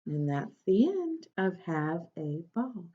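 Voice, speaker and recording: A woman's voice: fairly low pitch, big pitch swings, takes it slowly, husky. A woman teacher reading a picture book aloud to young children, instructing and prompting them with questions. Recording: dull, lo-fi sound.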